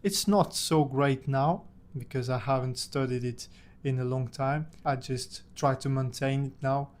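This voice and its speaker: A man's voice: medium pitch, fairly even intonation, a little slow, a little mellow. A young man from Portugal, a native Portuguese speaker, casually telling a personal story about himself in English. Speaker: language-learning content creator.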